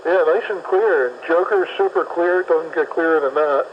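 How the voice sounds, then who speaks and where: A man's voice: fairly high pitch, normal speed, slight background noise. Chatty man on muffled car CB radio. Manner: chatty, upbeat, narrating his drive. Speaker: mobile CB radio operator. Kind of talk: casual CB radio chat. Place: in his car, driving. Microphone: mobile CB radio handset.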